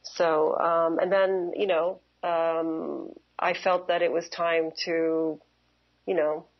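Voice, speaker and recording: A woman in her forties speaks in a low register with a lot of creak. She answers interview questions thoughtfully and hesitantly, often reworking her phrases. The recording is slightly dull. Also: little pitch movement, slow. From Canada and Kuwait. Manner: hesitant, reflective, often rephrasing. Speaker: interview guest, museum professional.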